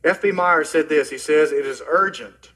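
A middle-aged man preaching a sermon as pastor, earnest and personal, often dropping soft and low at the ends of phrases. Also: normal speed. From Oklahoma, US.

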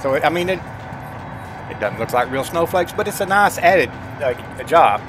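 Higher-voiced man doing casual game commentary. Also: lively intonation, moderate pace, music in the background. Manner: folksy, informal, addressing viewers as guys. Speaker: gaming streamer. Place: indoors at a computer desk, webcam.

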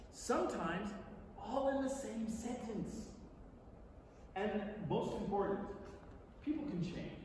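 A distant recording in a big, very reverberant theatre carries an American man in middle age, reading aloud from the stage. His voice sits fairly high, and he performs the text conversationally, leaning on key words and pausing for effect. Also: darkish tone, slight background noise. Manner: conversational, deliberate, theatrical delivery with emphatic pauses. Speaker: stage reader performing a book. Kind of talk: dramatic staged reading to an audience. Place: large theatre stage, indoors. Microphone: far audience camera.

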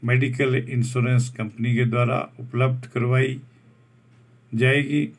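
A man reads out a job advertisement over a document, slowly restating each English term in Hindi. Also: mid-range voice, level tone. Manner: slow, deliberate, restates each point in Hindi after English terms. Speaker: job vacancy channel host.

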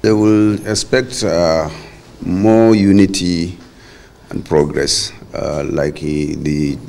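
A man's voice: deep voice, steady tone, slow pace, vocal fry, darkish tone. A middle-aged man from Nigeria answering reporters' questions in an indoor corridor, firm and formal. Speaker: party caretaker chairman and governor. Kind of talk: press briefing. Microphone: many handheld reporter mics.

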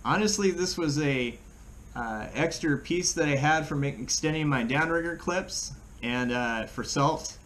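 A middle-aged American man giving a casual how-to demonstration in a plain-spoken, halting way, recorded indoors by a camera across a table. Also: on the high side, fairly bright tone. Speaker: fishing channel host. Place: small room at home, indoors. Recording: clear background room noise.

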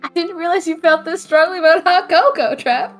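A woman's voice: extremely high pitch, a little fast, a bit bright, soft background music. A woman playing a dry, affectionately sarcastic young homebody, teasing her best friend in an audio drama. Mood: wry, teasing. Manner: wry, teasing.

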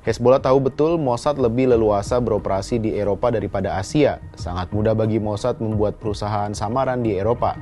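A man's voice: lowish voice, fairly even intonation, fast talker, hardly any pauses, dark tone. A man narrating a scripted news explainer as a voice-over. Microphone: voice-over microphone. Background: background music.

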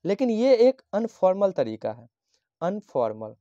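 Man from Bihar, tutor type, slow patient explainer, mellow tone, dry dull lo-fi sound. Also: high-pitched, sing-song, no room sound. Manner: slow, patient, repeats each phrase and dictates English lines. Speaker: teacher. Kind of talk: handwritten English lesson explained in Hindi. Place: at a desk, writing on a notebook page.

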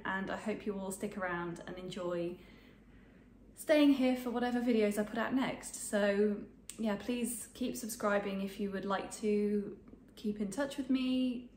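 A woman's voice: mid-range voice, a little mellow, reverberant, slight background noise. A woman in her twenties with a bit of a cold, chatting casually about sewing to camera from her sewing room, rambling with lots of ums. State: snuffly from a cold. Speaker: sewing vlogger. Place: home sewing room, indoors.

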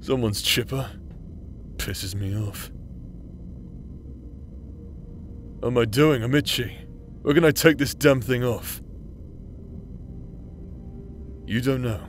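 A man playing a foul-mouthed, prickly injured bastard warrior in a roleplay audio drama, gruff and sarcastic. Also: medium pitch, normal speed, faint music behind, close to an everyday voice. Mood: grumpy.

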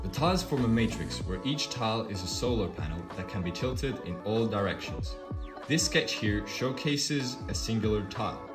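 A man's voice: fairly low pitch, lively intonation, moderate pace, reverberant. A young man in his twenties giving an upbeat, scripted narration for a student design video. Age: 20s. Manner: upbeat, playful presenting tone. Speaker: student presenter. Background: background music.

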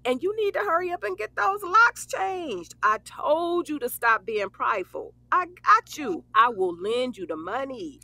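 An actress playing a brash, bawdy but loyal young best friend, blunt and teasing, in an audio drama. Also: female voice, sits high, sing-song, measured pace, few pauses, pushed and bright, exaggerated voice. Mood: fired up. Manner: sassy, blunt, foul-mouthed, teasing. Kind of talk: casual conversational audio drama.

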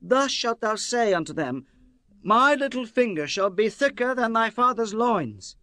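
A man's voice: extremely high pitch, expressive, a little slow, sharp tone, dry recording, heavily acted. An actor playing a bold, commanding man making solemn pronouncements in a dramatized scripture reading, declaiming in archaic language. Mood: arrogant. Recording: music bed behind the voice.

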